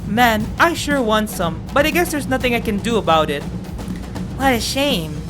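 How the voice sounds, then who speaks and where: A lazy young giant shepherd from a fantasy game, voiced by a woman, easygoing and childlike. The voice is high, over clearly audible music. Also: expressive, normal speed, natural voice. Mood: disappointed. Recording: music behind the voice.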